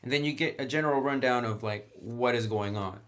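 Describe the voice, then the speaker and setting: A man's voice: mid-range voice, a little fast, fairly bright tone. A man in a chatty, enthusiastic style, reviewing a tabletop roleplaying game. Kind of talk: casual review talk.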